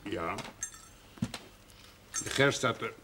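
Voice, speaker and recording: A gruff old farmer and father in a television drama. He is stubborn and curt, grumbling with big swings in pitch. Faint noise sits on the old soundtrack. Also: lively intonation, very slow, bright, forceful tone, heavily acted. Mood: resigned. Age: older. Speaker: actor in a television drama series. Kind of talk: naturalistic period television drama acting.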